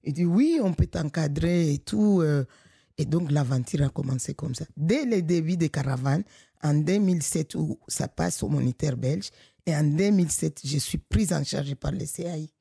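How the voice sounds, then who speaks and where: A middle-aged woman from Congo, director of a local association, speaking in an animated, emphatic way with frequent restarts. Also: sits low, moderate pace, creaky, breathy edge, no echo, studio quality. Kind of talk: conversational podcast interview.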